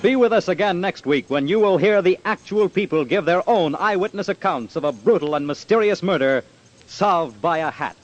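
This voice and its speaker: A man's voice: high-pitched, animated, moderate pace. An American man announcing a dramatic teaser on old-time radio. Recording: dull vintage radio, music.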